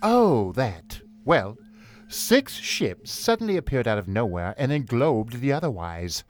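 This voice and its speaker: A man's voice: mid-range voice, big pitch swings, measured pace. A man playing a flippant, lecherous starship first officer in a comedic radio play, with a breezy, hammy delivery. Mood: matter-of-fact. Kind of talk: comedic radio theatre parody acting.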